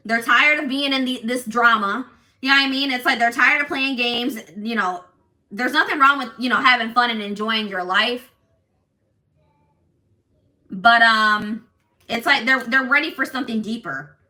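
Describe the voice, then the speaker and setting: A woman doing a casual tarot reading for her viewers, talking in an assertive, loud way with lots of restarts and "like". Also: on the high side, moderate pace, pauses often. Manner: animated, many restarts and fillers. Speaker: tarot reader.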